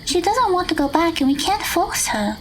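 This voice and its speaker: A woman playing a gentle, polite teenage magical girl in an audio drama, speaking matter-of-factly. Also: very high voice, very animated, normal speed, slight background noise, low-quality recording. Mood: gentle, firm.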